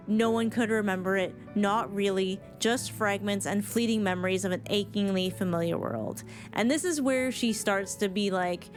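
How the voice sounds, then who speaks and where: Woman streamer chatting over faint game music. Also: medium pitch. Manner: emotive, informal, sweary, rambling. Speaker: streamer reacting to game cutscenes. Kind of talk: casual live commentary.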